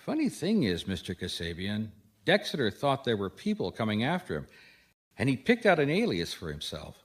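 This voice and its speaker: A man playing a wry, persistent private detective in a radio mystery, talking in measured, dry wisecracks. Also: on the low side, wildly swooping pitch. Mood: dry, probing.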